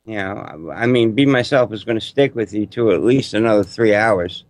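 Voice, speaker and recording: A man on a music review panel, hoarse and losing his voice, teasing the others in a mock-confrontational way. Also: lowish voice, normal speed, a little mellow, no echo. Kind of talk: joking panel banter.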